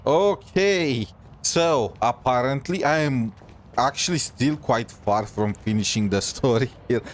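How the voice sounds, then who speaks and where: A man's voice: medium pitch, unhurried, slight background noise. A man giving casual live gameplay commentary as a streamer, talking in an informal, rambling, hesitant way. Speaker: game streamer.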